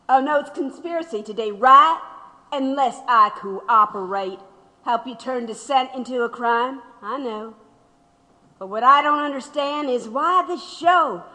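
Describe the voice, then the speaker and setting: A woman playing a defiant, sardonic dissident under interrogation in an audio drama, mocking and deliberate. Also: a little high, pushed and bright. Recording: long hall-like reverb.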